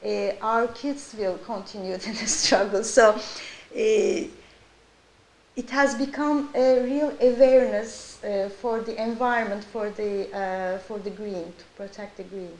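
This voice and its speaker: A woman's voice: medium pitch, fairly even intonation, slow, a little mellow. A woman with a Turkish accent giving an interview as a local resident. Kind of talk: interview talk. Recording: echoey room with faint hiss.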